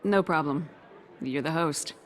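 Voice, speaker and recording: A woman's voice: low-pitched, measured pace. An actress playing a tough, composed female commander in a video game, direct and dry. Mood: cool, direct. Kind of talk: video game character acting. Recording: music behind the dialogue.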